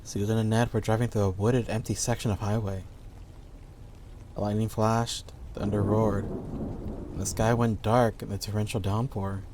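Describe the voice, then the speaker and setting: A man's voice: on the low side, little pitch movement, moderate pace, darkish tone, a little hiss or hum. A man reading scripted horror stories as the narrator.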